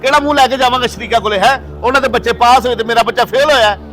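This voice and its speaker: A man playing an emphatic, excitable middle-aged father with a Pakistani Punjabi accent in a moral drama. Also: very high voice, rapid-fire. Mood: furious. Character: proud, hopeful father who turns furious. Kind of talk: acted moral-story drama.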